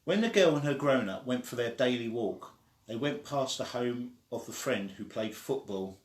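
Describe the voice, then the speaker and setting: A man reading a children's story aloud in an expressive way, acting out the quoted lines. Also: mid-range voice, normal speed. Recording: echoey room.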